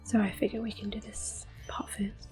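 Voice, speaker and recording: A woman giving gentle, unhurried ASMR gaming commentary in a soft, breathy, often whispered voice, close to the microphone. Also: mid-range voice, little pitch movement, soft background music. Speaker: gameplay host.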